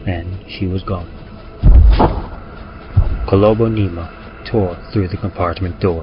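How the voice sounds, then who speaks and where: Deep guy reading a horror story like old radio drama, music under it. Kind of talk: dramatic scripted narration, old-time radio style. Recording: muffled recording with music. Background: music bed.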